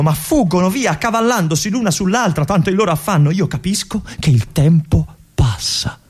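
A weary old-guard lieutenant, husky voice, pitch wandering widely, wistful radio-stage monologue. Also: male voice, exaggerated voice. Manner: reflective, with long pauses and soft whispered asides. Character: aging male army lieutenant, lonely, wistful, resigned. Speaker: stage actor.

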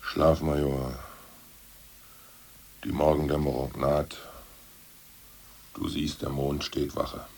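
An actor playing a gruff jailed ex-party boss in a German radio play, cynical yet secretly sentimental, with a gravelly, husky delivery. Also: male voice, extremely low pitch, level tone, takes it slowly, long pauses, a little mellow, full character voice. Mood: wistful.

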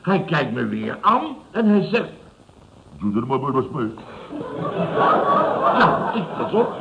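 An actor playing a scornful, blustering fifty-year-old building contractor boss in an old radio comedy, mocking and repeating himself. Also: male voice, mid-range voice, very animated, slow, vocal fry, heavily acted. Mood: incredulous. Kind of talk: Dutch radio comedy acting around 1970. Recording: dull, noisy old radio recording.

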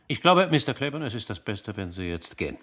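An actor playing a proud, indignant father and doctor in a radio drama, formal and reproachful, with a gravelly voice. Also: fairly low pitch, big pitch swings, a little fast, fairly bright tone. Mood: cold, indignant.

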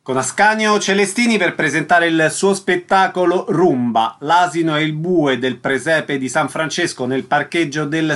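Male radio host, slightly high voice, scripted announcing and interviewing. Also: fairly bright tone.

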